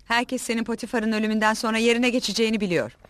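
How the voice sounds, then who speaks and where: An actress playing a proud, scheming noblewoman in an animated film, imperious and intense. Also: a little high, racing, natural voice.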